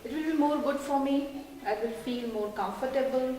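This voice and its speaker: A woman's voice: high voice, normal speed, mellow, slight background noise. A woman giving polite, careful answers in simple phrasing in an interview. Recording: echoey hall.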